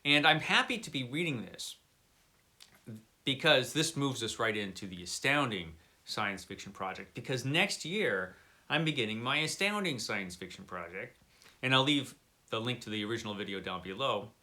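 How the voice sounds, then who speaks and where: Bright-voiced man casually chatting about books. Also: medium pitch, animated, moderate pace. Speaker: book reviewer and vlog host. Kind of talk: casual chatty book review.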